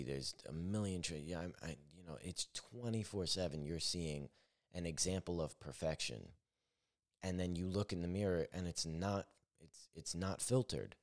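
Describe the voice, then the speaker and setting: Very deep-voiced man musing candidly. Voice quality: naturally deep voice. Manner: rambling, self-correcting, candid. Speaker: podcast host. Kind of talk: solo reflective podcast monologue.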